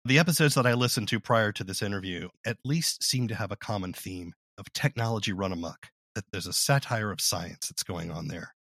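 A man interviewing guests in a conversational, measured and inquisitive manner. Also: fairly low pitch, fairly bright tone, no echo, clean studio sound.

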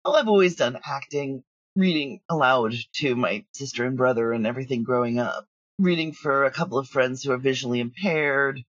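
A middle-aged woman from the United States chatting casually as an interview guest, telling stories in an animated way. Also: a bass voice, fairly quick. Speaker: guest, audio drama writer and producer. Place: at home, remote interview. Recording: dull, lo-fi remote connection.

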